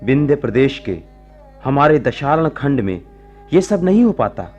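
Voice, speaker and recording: An actor playing an earnest, gentle monk disciple who speaks poetically in a radio-drama style, lingering on phrases. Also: male voice, medium pitch, sing-song, a little slow, muffled recording. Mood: indignant, concerned. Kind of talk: Hindi radio drama acting. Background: faint music.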